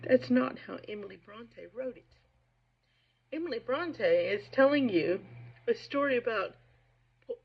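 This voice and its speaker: A woman giving informal literary commentary in an emphatic, opinionated and somewhat halting way. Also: a little high, lively intonation, gravelly. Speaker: reader and commentator. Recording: dull, muffled lo-fi recording.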